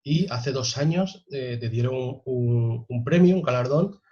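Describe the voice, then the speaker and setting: A man's voice: medium pitch, a little slow, warm tone. Guy interviewing someone, kinda dull lo-fi sound, mid voice, bit hesitant. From Spain. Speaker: interviewer, host. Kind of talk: semi-formal conversational interview.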